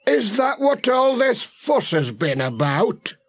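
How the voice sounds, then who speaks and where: A man's voice: very high voice, sing-song, slow, breathy edge, no echo, voice pushed up, heavily acted. A man voicing a plain-spoken, wry countryman with a blunt, informal manner in a children's audiobook. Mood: wry, unimpressed. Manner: blunt, informal rustic talk. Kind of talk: children's audiobook character reading.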